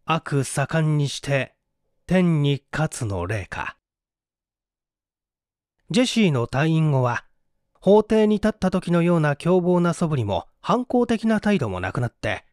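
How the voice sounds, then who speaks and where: A man's voice: on the high side, darkish tone, clear, resonant tone, no echo, studio quality. A man narrating a mystery story in a formal, theatrical, suspenseful literary reading style.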